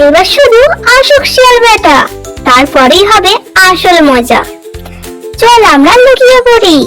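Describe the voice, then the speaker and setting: A woman's voice: very high voice, very animated, unhurried, fairly bright tone, exaggerated voice. A woman voicing a small, childlike, earnest bird in a children's cartoon. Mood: scheming. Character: small anxious and caring cartoon songbirds, young and childlike. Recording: faint music behind the voice.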